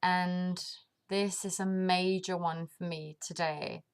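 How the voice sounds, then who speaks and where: Slow, thoughtful woman from Denmark. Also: on the low side, level tone, clean tone. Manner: thoughtful, pauses often with ums, laughs at herself. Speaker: vlogger. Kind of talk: casual reflective monologue to camera.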